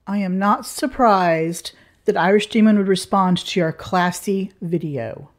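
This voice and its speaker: A woman hosting her channel, reading scripted commentary in an indignant, measured way. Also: medium pitch. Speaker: channel host.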